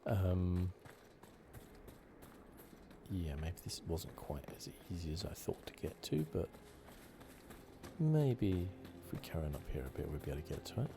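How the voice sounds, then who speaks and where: Low-voiced, soft-spoken man. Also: animated, gravelly, noisy background. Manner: soft-spoken, unhurried, thinking aloud. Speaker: gaming channel host. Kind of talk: relaxed ASMR video game commentary. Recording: game music behind the voice. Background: video game music.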